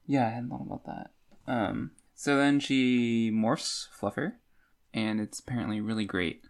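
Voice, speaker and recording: Woman, podcast co-host with a gravelly, fry-laden voice; relaxed conversational book talk. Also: very deep voice, a little slow. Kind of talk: casual book discussion chat.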